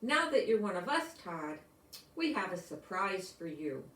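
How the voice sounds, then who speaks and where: A woman's voice: medium pitch, sing-song, unhurried, fairly bright tone, a little hiss or hum. A woman voicing eager, friendly schoolchildren in a storybook, speaking in earnest, simple declarations. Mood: friendly, excited. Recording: roomy echo, distant microphone.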